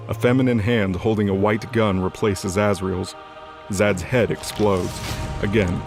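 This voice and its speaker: A man with a fairly low voice narrates a fantasy audio drama. He reads scripted action in a dramatic storytelling style, with music clearly underneath.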